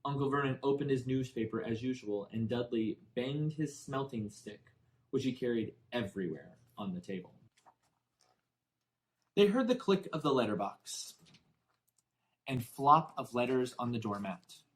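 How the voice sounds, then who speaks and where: A man reading a children's novel aloud in expressive, deliberately phrased storybook narration. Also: mid-range voice, low-quality recording. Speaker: audiobook narrator.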